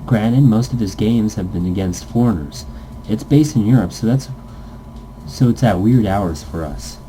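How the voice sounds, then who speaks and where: A man's voice: fairly low pitch, moderate pace, dark tone, slight background noise, rough recording. A man casually reading a visual novel game aloud, stumbling over lines and tossing in goofy asides.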